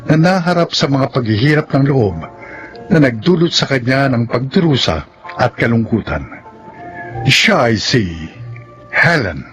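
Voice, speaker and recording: A man's voice: medium pitch, sing-song, measured pace, long pauses, a bit bright, soft background music. A man with a Filipino accent narrating a scripted, dramatic introduction as a radio drama announcer.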